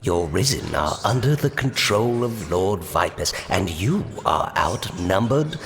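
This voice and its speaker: Man as a deep, slow, menacing villain. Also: big pitch swings. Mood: cold menace. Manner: deliberate, threatening. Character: cold, menacing villain lieutenant demanding surrender. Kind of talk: fantasy audio drama villain.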